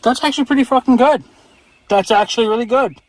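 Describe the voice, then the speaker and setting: A man's voice: extremely high pitch, fast talker, rough recording. A man chatting off the cuff in a casual, plain-spoken way, swearing offhand as he reviews gear. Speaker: vlogger reviewing radio gear. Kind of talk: casual unscripted product review chat.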